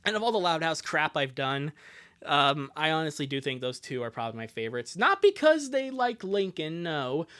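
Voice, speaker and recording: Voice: medium pitch, sing-song, moderate pace, fairly bright tone. A streamer chatting casually between dub readings, rambling and exclaiming with giggly joking asides.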